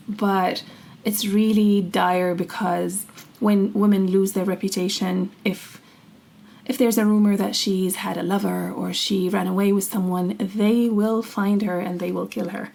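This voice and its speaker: A woman's voice: mid-range voice, level tone, unhurried, creaky, a little mellow. A young woman from Syria, co-hosting a podcast and telling personal stories in an earnest, casual way. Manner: earnest storytelling, laughs easily. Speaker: podcast co-host. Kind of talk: casual conversational chat.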